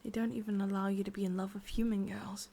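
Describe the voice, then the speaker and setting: A woman with an English accent playing an ancient, lovelorn witch in an ASMR roleplay, speaking intimately in soft, breathy whispers with musing pauses. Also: mid-range voice, little pitch movement, a little hiss or hum, natural voice. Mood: soothing, coaxing.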